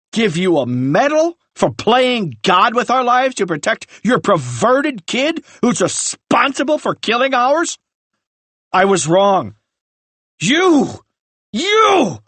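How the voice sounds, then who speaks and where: A man voicing a grieving father who is furious and bitter, lashing out loudly. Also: sits high, measured pace, few pauses, no echo, pitched above the performer's own voice. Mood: enraged. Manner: shouting, bitter, seething. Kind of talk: audiobook character reading.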